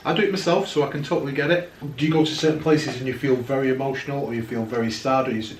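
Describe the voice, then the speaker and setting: A man's voice: medium pitch, fairly even intonation, racing, a little hiss or hum. A man, hosting a casual interview and leading with encouraging questions from a living room sofa. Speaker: interviewer, paranormal channel host. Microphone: far camera. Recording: echoey room from a distance.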